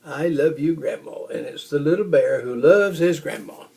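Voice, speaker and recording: An older man reading a children's story aloud in an expressive storytelling manner. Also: on the high side, moderate pace, creaky. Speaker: storybook reader.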